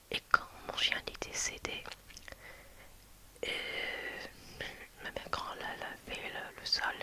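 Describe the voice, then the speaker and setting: Whispering woman telling a story. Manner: hushed, hesitant, many restarts and fillers. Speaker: video creator.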